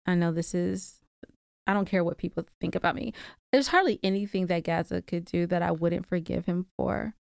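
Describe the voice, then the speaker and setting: A woman's voice: fairly low pitch, normal speed, creaky, a little mellow, no room sound. Candid woman on a dry close mic. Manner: candid, reflective, bursts into playful laughter. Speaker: podcast co-host. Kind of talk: casual chat.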